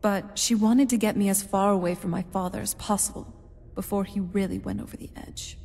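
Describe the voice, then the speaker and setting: An actress playing a thoughtful, guarded vampire noblewoman in a fantasy game, soft-spoken, measured and sincere. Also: medium pitch, fairly even intonation, creaky, lightly acted. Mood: wistful. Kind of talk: scripted fantasy game dialogue. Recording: reverberant stone hall, background noise.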